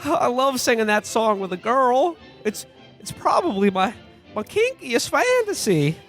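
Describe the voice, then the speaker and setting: Bashful naive everyman, acted by a man, stammering, halting, old-film radio parody, light music underneath. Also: extremely high pitch, very animated, fairly bright tone, heavily acted. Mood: bashful, eager. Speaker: podcast host playing himself. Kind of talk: parody of 1940s film acting in a radio play.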